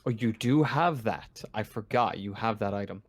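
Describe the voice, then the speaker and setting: A man's voice: fairly low pitch, normal speed. A man running a tabletop game casually as game master, hesitant and rambling, with an apologetic manner.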